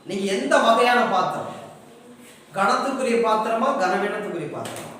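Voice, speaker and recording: A man's voice: high-pitched, animated, moderate pace, a bit bright, slight background noise. A man preaching a fervent sermon, exhorting emphatically with repeated rhetorical questions. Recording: echoey hall, distant mic, music behind.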